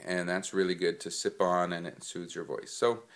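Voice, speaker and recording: A man's voice: sits low, steady tone, moderate pace. A man with a head cold, stuffed up, giving casual singing tips and rambling with plenty of ums. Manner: rambling with many fillers. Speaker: singing vlogger. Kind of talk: casual spoken tips to camera.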